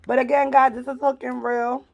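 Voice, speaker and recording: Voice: high voice, little pitch movement, normal speed, gravelly, highs cut off. A vlogger from New York chatting casually while eating, playful and fond of repeating words. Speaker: vlog co-host. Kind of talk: casual mukbang chat.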